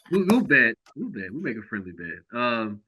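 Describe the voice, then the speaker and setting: A man hosting a podcast, chatting casually about basketball in a lively way, laughing often and dropping into low mutters. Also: a little slow, noisy background. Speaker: podcast host. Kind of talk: casual sports chat. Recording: dull lo-fi sound.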